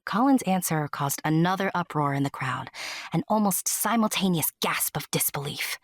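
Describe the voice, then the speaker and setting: A woman's voice: fairly low pitch, animated, moderate pace, no echo, studio quality. A woman narrating a scripted story, with conversational asides to the listener. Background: music.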